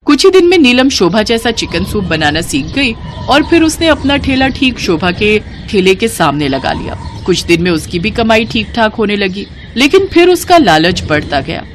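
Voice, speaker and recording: A woman's voice: mid-range voice, very animated, moderate pace, hardly any pauses, background noise. A woman reading a scripted moral story as its narrator.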